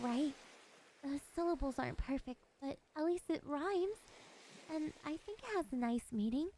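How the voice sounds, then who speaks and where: High-voiced, lonely, possessive siren, acted by a woman. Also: darkish tone. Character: lonely, possessive female siren, curious and soft-spoken. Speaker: voice actress. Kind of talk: ASMR roleplay monologue.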